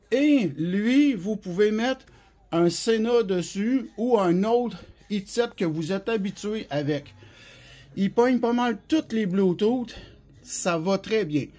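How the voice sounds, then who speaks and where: Casting: man, Quebec French accent, notably high voice with a rough husky edge, informal rambling product demonstrator. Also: a little mellow. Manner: colloquial, rambling, hands-on explaining. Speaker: CB radio specialist and seller presenting products.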